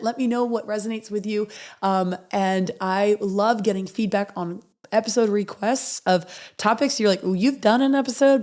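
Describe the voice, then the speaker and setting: A woman hosting a motivational podcast, her voice raspy and hoarse as she recovers from being sick. She talks earnestly and reads affirmations softly and slowly. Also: medium pitch. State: hoarse from recovering after illness. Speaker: podcast host.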